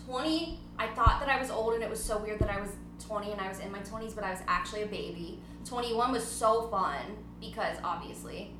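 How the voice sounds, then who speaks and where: A woman's voice: medium pitch, brisk, few pauses. Woman, 20s, podcast host, bubbly, giggly, rambling, casual chat, noisy recording with hum. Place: at home before a party.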